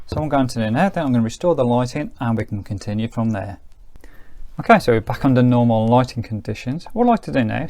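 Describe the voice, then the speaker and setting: A man's voice: mid-range voice, a little mellow. A man giving a casual hands-on gadget review, thinking aloud in an informal, hedging way. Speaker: product reviewer.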